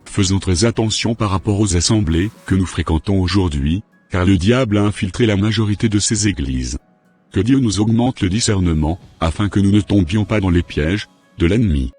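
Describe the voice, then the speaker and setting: A man's voice: low voice, normal speed, a little mellow, husky, faint music behind. A man narrating a short scripted sermon, reading scripture solemnly.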